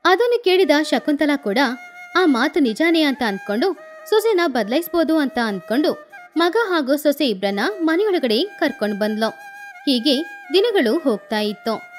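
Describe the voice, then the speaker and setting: A woman's voice: high-pitched, sing-song, normal speed, faint music behind. A woman narrating a scripted moral story.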